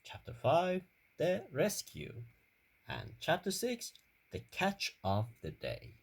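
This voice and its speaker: A man reading a children's story aloud in careful, deliberate short phrases. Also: a little high, wildly swooping pitch, vocal fry, slightly rough.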